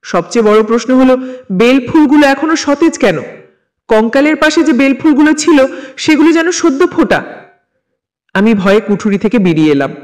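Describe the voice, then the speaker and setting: A man's voice: very high voice, animated, normal speed, long pauses. A man reading a scripted first-person horror story in a dramatic, suspenseful storytelling manner.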